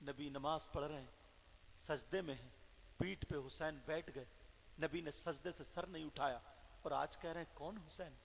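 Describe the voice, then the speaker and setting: A man preaching a devotional sermon, telling the story with impassioned shouting and chanted, sing-song phrases. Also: on the high side, vocal fry, a little hiss or hum. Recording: reverberant hall with faint crowd.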